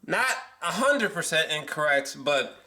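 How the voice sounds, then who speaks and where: A man talking off the cuff in a casual film review vlog, excitable and emphatic, rambling, repeating himself and swearing often. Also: on the high side, slight background noise. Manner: rambling, halting, frequent swearing. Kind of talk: unscripted, casual movie reaction talk.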